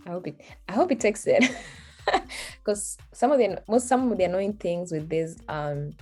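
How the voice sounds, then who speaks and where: A woman's voice: lowish voice, normal speed, warm tone. A young woman from Kenya whose first languages are Swahili and Luo, chatting casually as she walks through a form on screen, thinking aloud and laughing at herself. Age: young adult. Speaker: video tutorial host. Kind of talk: screen-recorded walkthrough.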